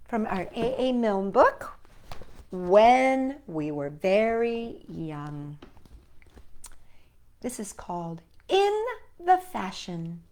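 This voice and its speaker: A woman hosting a storytime, reading children's stories and poems expressively and speaking directly to young listeners. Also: on the high side. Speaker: storytime host and reader. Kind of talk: children's story read-aloud.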